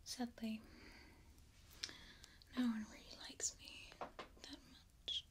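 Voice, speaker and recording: A young woman playing a gentle, wistful, kindly goddess of the hearth in a hushed, unhurried ASMR roleplay. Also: a little high, level tone. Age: young adult. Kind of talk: soft-spoken ASMR roleplay.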